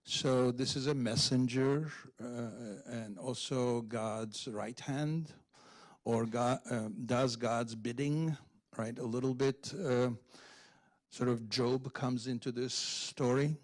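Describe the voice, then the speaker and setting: A man speaks as a literary translator explaining a text. His pitch is average and his pace is very slow and halting. The delivery is scholarly and unscripted, pausing to check that listeners follow. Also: steady tone. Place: public library event before an audience.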